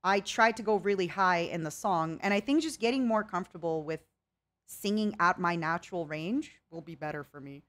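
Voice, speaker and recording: A woman's voice: on the low side, moderate pace, fairly bright tone. A young woman streaming a casual chat with viewers, rambling and reading out chat messages. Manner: chatty, rambling, reads chat aloud.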